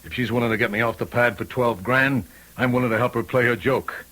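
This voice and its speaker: An actor playing a gruff, shady restaurant owner in an old-time radio mystery, slangy and defensive. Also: male voice, mid-range voice, steady tone, fairly quick, fairly bright tone, close to an everyday voice, poor audio. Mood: grudging.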